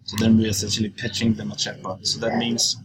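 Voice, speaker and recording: A young man giving an informal screencast walkthrough, explaining in a halting, loose way as he goes. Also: lowish voice, monotone. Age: young adult. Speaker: software walkthrough presenter. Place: indoors, at a computer. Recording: clearly noisy screencast recording.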